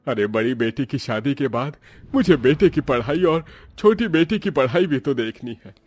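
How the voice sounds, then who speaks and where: A man's voice: medium pitch, expressive, normal speed, darkish tone, slightly rough. A man voicing a poor, middle-aged farmer and father in a village story, kindly, earnest and lamenting. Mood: worried, pleading.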